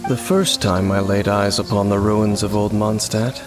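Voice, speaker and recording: A man voicing a solemn, mysterious wandering swordsman in a game, speaking in measured, formal phrases. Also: lowish voice, little pitch movement, close to an everyday voice. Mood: grave. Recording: game music behind, with rain sounds.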